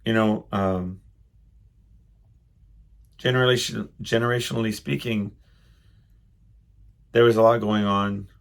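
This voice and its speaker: A middle-aged man hosting a solo podcast, reflecting casually and haltingly as he thinks aloud in a loud voice. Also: on the low side, fairly even intonation, gravelly. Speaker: podcast host.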